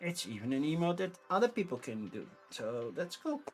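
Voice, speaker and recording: A man's voice: a little high, sing-song, normal speed, faint music behind. A man with a Dutch accent, a native Dutch speaker, chatting casually and thinking aloud as a livestream host. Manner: rambling, informal, thinking aloud. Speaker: livestream host reviewing board game crowdfunding.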